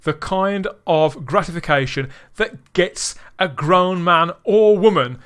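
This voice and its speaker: A man hosting a podcast discussion, talking in long rambling sentences full of restarts and getting louder when he gets excited. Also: high-pitched, takes it slowly, clean tone. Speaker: podcast host.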